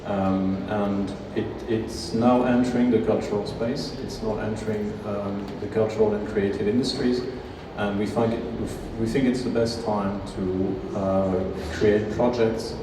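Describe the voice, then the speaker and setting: A man answering at a press conference as an executive, halting and full of fillers. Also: fairly low pitch, fairly even intonation. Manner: halting, many fillers and restarts. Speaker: nonprofit executive. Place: large historic hall. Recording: echoey hall, distant mic, background noise.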